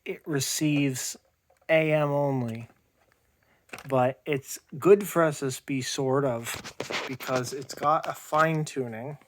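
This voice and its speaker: A man giving an unscripted show-and-tell as an amateur radio hobbyist, halting and often repeating and rephrasing himself. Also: mid-range voice, a little hiss or hum. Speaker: amateur radio hobbyist demonstrating homemade gear.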